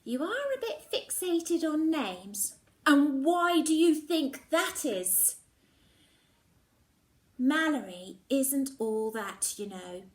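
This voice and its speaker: A woman's voice: high-pitched, sing-song, slow, roomy sound, voice pushed up, full character voice. Woman voicing a bossy teasing schoolgirl. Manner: emphatic, teasing. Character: chatty bossy teenage schoolgirl friend.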